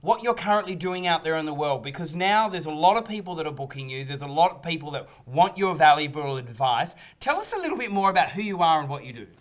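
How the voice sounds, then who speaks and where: A man's voice: fairly high pitch, lively intonation, quick pace, few pauses. A man hosting an interview show, eager and often stumbling over the first words of his questions. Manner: eager, repeats words when starting questions. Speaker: host.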